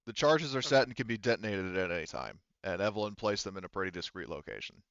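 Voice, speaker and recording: A man's voice: low-pitched, expressive, fast, creaky, a bit bright, no echo, highs cut off. A man running a tabletop roleplaying game as game master, explaining the situation conversationally.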